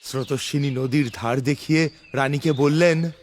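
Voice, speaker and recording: A man's voice: medium pitch, steady tone, moderate pace, a little hiss or hum. A man narrating a scripted fairy tale as a dramatic storyteller. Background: music.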